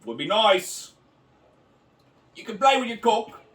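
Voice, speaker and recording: Man playing a crude British lad with a put-on accent: lively, recorded far off in a roomy space. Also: sits high, slow, full character voice. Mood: rowdy. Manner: rowdy, crude, mocking. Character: crude rowdy British bloke, adult man. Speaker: podcast co-host. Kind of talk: improvised comedy bit.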